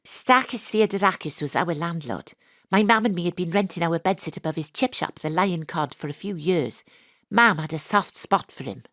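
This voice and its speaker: A woman's voice: fairly low pitch, moderate pace, fairly bright tone, no echo. Wry Welsh lady detective, close-miked. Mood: wry narration. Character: brisk, tongue-in-cheek Welsh woman private detective. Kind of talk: comic audio drama acting and narration.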